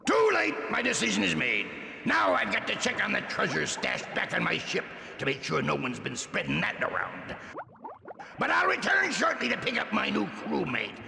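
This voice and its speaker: A man voicing a blustering, menacing ghost pirate captain in a cartoon game, with a gravelly voice, a stage pirate accent and theatrical threats. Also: high-pitched, huge pitch swings, normal speed, bright, forceful tone, slight background noise, full character voice. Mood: gloating, commanding. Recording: echoey hall reverb, music underneath.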